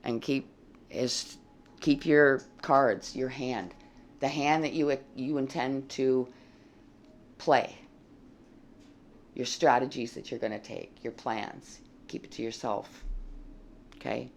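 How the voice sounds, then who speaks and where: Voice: mid-range voice, fairly even intonation, slow pace, vocal fry, pauses often, slight background noise. A tarot reader giving a casual, blunt reading with a dry, froggy voice and some swearing. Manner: blunt and plain-spoken, swears freely.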